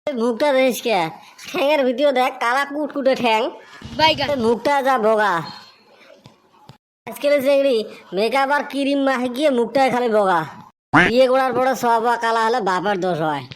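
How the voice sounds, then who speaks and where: A fairly high voice put on by a performer plays a cheeky village youth in a cartoon comedy. The talk is complaining banter, quick to answer back. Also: lightly acted. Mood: grumbling.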